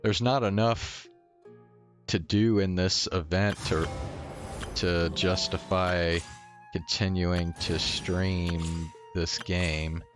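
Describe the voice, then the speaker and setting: A man's voice: deep voice, steady tone, takes it slowly. A man chatting casually to viewers as a game streamer, musing hesitantly and trailing off. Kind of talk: casual livestream chat to viewers. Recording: game music behind the voice. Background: video game music.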